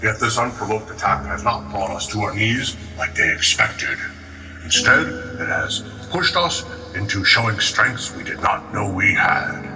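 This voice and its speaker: A man's voice: on the low side, measured pace, bright, forceful tone. An actor playing a pompous, oratorical male president in an audio drama. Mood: solemn, rousing. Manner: oratorical, stammers under questioning. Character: pompous male president, rattled and evasive when questioned. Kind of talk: audio drama political speech. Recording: echoey public-address hall, distant, music behind.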